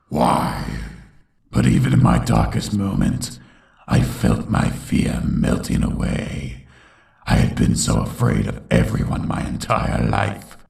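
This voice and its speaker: A man playing a bitter, menacing pony tyrant in a dramatic comic dub, with a gravelly growl and brooding, theatrical delivery. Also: very deep voice, a little mellow, echoey room, exaggerated voice. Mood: bitter anger.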